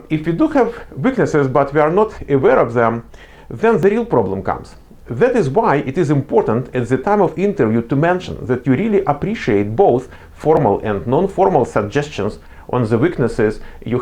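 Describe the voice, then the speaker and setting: A man's voice: a little high, darkish tone. Earnest man with a non-native accent. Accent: non-native English. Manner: earnest, explanatory, with frequent fillers and restarts. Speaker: career advice presenter. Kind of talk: instructional talk to camera.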